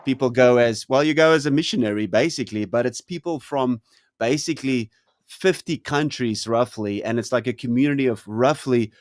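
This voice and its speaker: A man's voice: mid-range voice, moderate pace, dry recording. Hesitant South African man, dry sound. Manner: hesitant, lots of ums and restarts. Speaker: host. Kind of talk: casual conversation.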